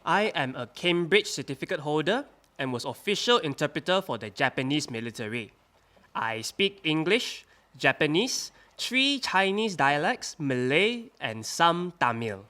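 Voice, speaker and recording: A man's voice: fairly high pitch, big pitch swings, a little slow, bright, forceful tone, exaggerated voice. A man acting a wartime military interpreter, speaking in a stiff, formal, curt way. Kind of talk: radio drama acting.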